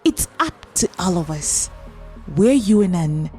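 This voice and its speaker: A woman reads a promo voice-over in an earnest, rallying tone. Her voice is fairly low and mellow, with faint music behind it. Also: big pitch swings, measured pace. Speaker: promo narrator.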